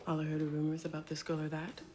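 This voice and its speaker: An actress playing a gossipy, eager bank secretary, prim and confiding, in a period drama. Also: female voice, low-pitched, monotone, quick pace, warm tone, a little hiss or hum, natural voice. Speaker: actor.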